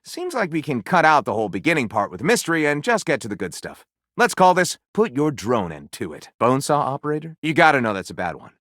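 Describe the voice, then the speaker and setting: A man's voice: on the high side, bright, forceful tone, no echo, studio quality, heavily acted. A man playing a flippant, theatrical writer character in a video game, speaking with wry sarcasm and mock grandeur. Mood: amused, wry, then exasperated. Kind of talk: animated game dialogue, mock-grand title announcing.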